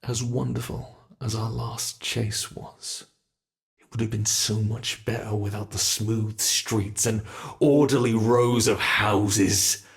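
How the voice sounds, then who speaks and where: A British man voicing a sadistic male monster from under the bed, speaking slowly and menacingly in an ASMR horror roleplay, often dropping to whispers. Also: on the low side, fairly even intonation, creaky. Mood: taunting. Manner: slow, taunting, menacing, drifting into whispers.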